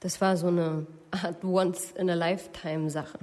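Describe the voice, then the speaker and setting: A woman's voice: lowish voice, level tone, unhurried, a little mellow, lightly acted. A woman acting in a German radio drama as a candid, defensive young woman being questioned, frank and slightly scornful.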